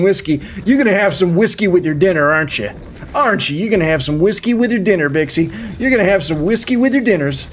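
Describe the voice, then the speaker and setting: A man's voice: high-pitched, a little hiss or hum. A man in his thirties chatting casually as a live streamer, rambling and often repeating his phrases. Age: 30s. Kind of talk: casual chat with viewers while gaming.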